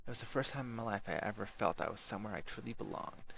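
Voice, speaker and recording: A man's voice: lowish voice, fast, gravelly, a bit bright, a little hiss or hum. A man voicing a pompous, bookish alien intellectual narrating a florid, self-important memoir of his youth. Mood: proud reminiscing. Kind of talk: first-person memoir narration.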